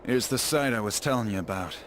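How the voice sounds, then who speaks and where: An actor playing a world-weary, bitter miner in a video game, gruff and matter-of-fact. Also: male voice, medium pitch, a little fast, a little hiss or hum, lightly acted. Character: world-weary, bitter miner showing his brother around. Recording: loud music behind the voice.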